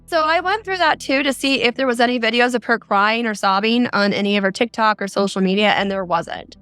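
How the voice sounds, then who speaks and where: A woman's voice: on the high side, fairly quick, fairly bright tone, clean tone, no room sound, faint music behind. A middle-aged woman telling her own story as an interview guest. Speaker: interview guest, mother recounting a scam. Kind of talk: recounting a personal experience in an interview.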